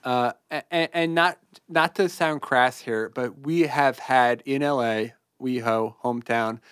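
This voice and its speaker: A man's voice: medium pitch, unhurried, fairly bright tone, clear, resonant tone, no room sound. A man in the middle of his range, rambling through an improvised bit in a mock-serious tone and tossing in crass jabs. Recorded close on a dry studio mic with no room sound. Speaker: podcast host. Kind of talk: improvised comedy podcast chat.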